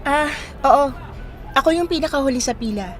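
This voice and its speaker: A woman's voice: high-pitched, lively intonation, normal speed, faint music behind. An actress playing a friendly, inquisitive young woman in a radio drama, speaking casually. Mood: curious. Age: young adult. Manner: casual, conversational. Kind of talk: naturalistic radio drama dialogue in Tagalog.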